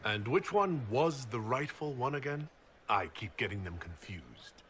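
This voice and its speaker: An actor playing a blunt, inquisitive leader of a fantasy army, direct and questioning. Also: male voice, mid-range voice, very animated, unhurried, a bit bright. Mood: wry, direct. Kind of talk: dramatic game cutscene dialogue.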